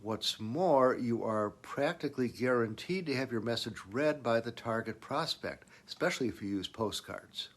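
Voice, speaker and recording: Guy doing a business explainer, average pitch, teaching tone, stresses the main points. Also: expressive, normal speed, hardly any pauses, fairly bright tone. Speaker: business advice presenter. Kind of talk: semi-scripted explanatory narration.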